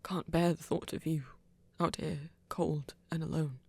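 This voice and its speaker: A woman playing a flirty, tender vampire in an intimate ASMR romance, speaking gently and haltingly in a breathy half-whisper. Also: low voice, little pitch movement, dry recording, heavily acted. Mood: nervous, adoring. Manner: halting, gentle, careful phrasing. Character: flirty, tender vampire shyly confessing love to a hunter.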